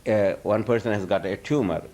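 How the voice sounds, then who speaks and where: Low-voiced man giving a rambling lecture. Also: fairly quick, dark tone, a little hiss or hum. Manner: chuckles often, rambling with frequent restarts. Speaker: spiritual teacher answering audience questions. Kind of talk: informal lecture Q&A. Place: live talk before an audience.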